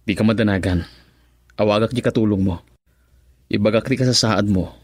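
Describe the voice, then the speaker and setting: A man plays a young Filipino man in a radio drama, concerned and reassuring. He speaks somewhat low, recorded close and without echo. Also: fairly even intonation, brisk, lightly acted. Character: concerned young man tending a sick woman. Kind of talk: Ilocano radio drama acting.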